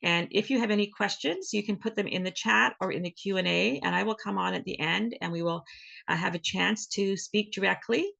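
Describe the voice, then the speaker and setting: A woman opening an online library event as host, friendly and a little halting, with many fillers. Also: mid-range voice, gravelly, fairly bright tone, highs cut off. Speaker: host, library program manager. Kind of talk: event introduction. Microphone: video call.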